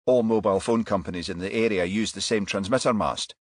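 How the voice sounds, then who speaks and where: A man's voice: lowish voice, fairly quick, dry recording, clean studio sound, lightly acted. A man voicing an eager, deferential junior detective who reports promptly in clipped phrases, in a crime audiobook. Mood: eager, reporting.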